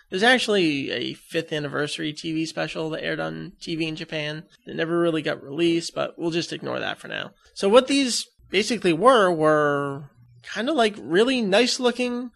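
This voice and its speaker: A man's voice: on the high side, gravelly, a bit bright. An American man co-hosting a casual fan discussion, chatting and explaining things as he goes. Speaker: podcast co-host. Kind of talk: casual discussion of anime fandom.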